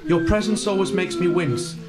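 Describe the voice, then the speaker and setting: A man playing a wounded, bitter character who delivers measured rhyming verse in a radio play. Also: sits high, loud music behind the voice, lightly acted. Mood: bitter, hurt. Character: wounded, bitter male speaker rejecting someone in rhyme.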